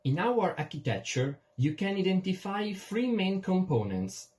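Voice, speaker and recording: Higher-voiced young man with lively intonation. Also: unhurried. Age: young adult. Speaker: student presenter. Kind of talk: scripted technical presentation.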